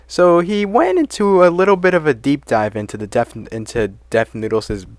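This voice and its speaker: A man's voice: on the high side, expressive, normal speed, no echo. A man giving casual, exasperated commentary on online drama, swearing and rambling through asides.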